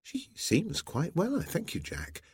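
A man voicing a gentle, affectionate sailor's wife in an audiobook, with a soft, genteel and fond manner. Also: a little high, wildly swooping pitch, measured pace, breathy edge, no room sound, voice pushed up, exaggerated voice. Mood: polite, composed.

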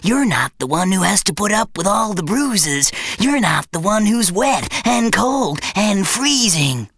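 A man's voice: sits high, sing-song, measured pace, a bit bright, no room sound, clean studio sound, voice pushed up, heavily acted. A man voicing a whiny talking bum. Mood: complaining. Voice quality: whining, petulant.

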